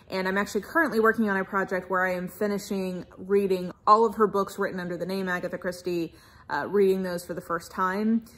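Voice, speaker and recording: An American woman in her thirties giving a casual, chatty book review vlog with expressive, emphatic asides. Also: medium pitch. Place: furnished room at home, indoors.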